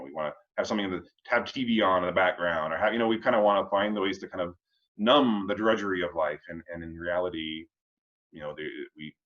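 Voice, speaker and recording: Low-voiced man in halting, reflective chat. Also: a bit bright, muffled recording. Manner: halting and rambling, checking in with "you know" and "huh". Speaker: host of a family read-along discussion. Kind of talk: casual reflective chat on readings.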